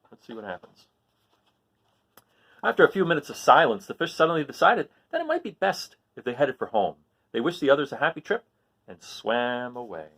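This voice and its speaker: A man reading a picture book aloud to children, pausing to count along and quiz his young listeners. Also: medium pitch, sing-song, fairly bright tone.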